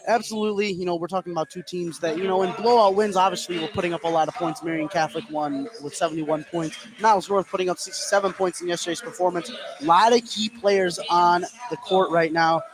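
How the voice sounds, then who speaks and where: A man's voice: sits high, steady tone, quick pace, rough recording. A man calling a basketball game live as a play-by-play radio commentator. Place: indoor school gym. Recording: crowd noise behind the voice. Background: gym crowd.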